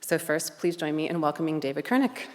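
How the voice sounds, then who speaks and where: A woman's voice: deep voice, expressive, brisk. A woman moderating a panel, formally introducing the next speaker from notes. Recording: distant echoey hall.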